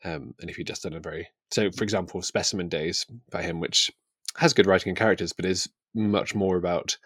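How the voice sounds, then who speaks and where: A man's voice: deep voice, fairly even intonation, a little fast, a bit bright, no room sound. A man in casual book discussion, reflective and thinking aloud as a podcast host.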